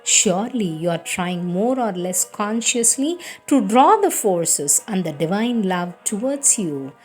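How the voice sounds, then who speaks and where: A woman giving a slow, reverent reading of devotional letters. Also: mid-range voice, animated, faint music behind. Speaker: reader.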